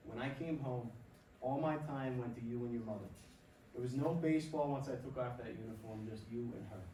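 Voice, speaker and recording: A man's voice: mid-range voice, fairly quick, warm tone, natural voice. A man playing a supportive, plain-spoken middle-aged father in a staged play reading. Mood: earnest, reassuring. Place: stage in a theatre hall. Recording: distant, echoey stage recording, faint noise.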